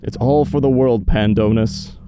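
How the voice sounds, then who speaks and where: A man playing a cold, grandiose villain who rules a dream realm, speaking with measured menace in an audio drama. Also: medium pitch, music in the background, close to an everyday voice.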